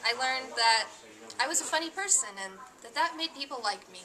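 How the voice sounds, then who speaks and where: A teenage girl answering interview questions casually, quick and offhand. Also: sits high, expressive, crowd noise, rough recording. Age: teens. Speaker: student interviewee.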